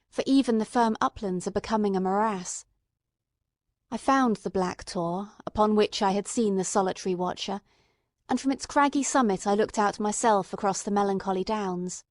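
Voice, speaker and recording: A woman narrates a classic novel in a mid-pitched voice, recorded close and dry. Her delivery is measured and expressive, shaped as scripted storytelling. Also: dry recording. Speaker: audiobook narrator.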